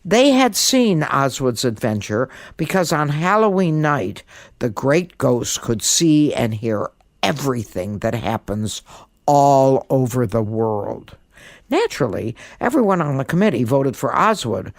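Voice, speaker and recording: A woman narrating a children's story in an expressive, theatrical and emphatic way. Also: extremely low pitch, unhurried, no room sound, studio quality. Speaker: storyteller, guest podcast host.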